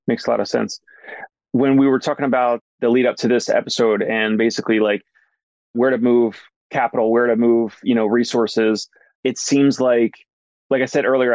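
A man's voice: medium pitch, a little mellow, no room sound. A man hosting a podcast, asking questions in a casual, rambling way, filling with "like" and restarting phrases. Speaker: podcast host. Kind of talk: casual interview chat. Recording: dry, dull muffled sound.

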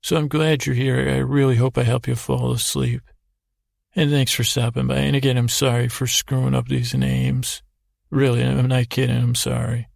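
A man hosting a sleep podcast with a meandering, halting bedtime monologue, repeating words and trailing off in a creaky, gravelly voice. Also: mid-range voice, little pitch movement, no room sound, studio quality. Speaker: podcast host. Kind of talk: rambling bedtime monologue to help listeners sleep.

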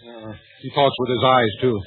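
A man's voice: mid-range voice, level tone, moderate pace, a little hiss or hum, natural voice. An actor playing a wisecracking male newspaper reporter with dry sarcasm, in 1940s radio acting. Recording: tinny old radio broadcast.

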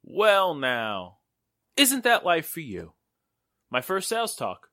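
Man playing a wisecracking, lively 1940s radio pal. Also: fairly high pitch, takes it slowly, no room sound, studio quality, exaggerated voice. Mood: playful, wry. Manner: jokey, theatrical patter. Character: wisecracking, playful man friend of a newspaperwoman.

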